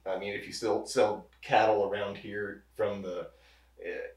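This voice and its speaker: Low-voiced man in a roomy echo. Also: a little slow. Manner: relaxed, rambling with many restarts. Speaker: pharmacist guest. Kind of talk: casual interview. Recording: roomy echo, distant mic.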